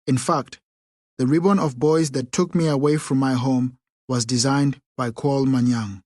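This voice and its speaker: A man reading a first-person memoir aloud as an audiobook narrator, in a measured, unhurried way. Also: mid-range voice, fairly even intonation, darkish tone, no echo, studio quality.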